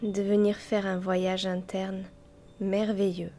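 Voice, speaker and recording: A woman guiding a yoga nidra meditation in a soft, breathy, near-whispering voice, gently and unhurriedly, with long pauses between short phrases. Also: mid-range voice, level tone, slight background noise. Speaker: guided meditation teacher and host. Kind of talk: guided yoga nidra meditation, slow and soothing. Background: soft music with chimes.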